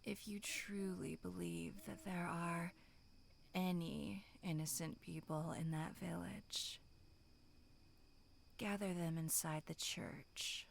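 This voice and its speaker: A woman voicing a bloodthirsty female demonic monster in a breathy, menacing whisper for a horror ASMR roleplay, sinister yet tender. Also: lowish voice, steady tone, a little hiss or hum, exaggerated voice. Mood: quietly persuasive. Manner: slow, drawn-out, menacing whispering.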